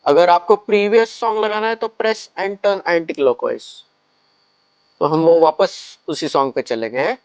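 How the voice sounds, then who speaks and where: A man's voice: high voice, measured pace, dry recording, muffled recording. A man gives a casual step-by-step product tutorial, explaining things and mixing in English phrases. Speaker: product reviewer and shop presenter.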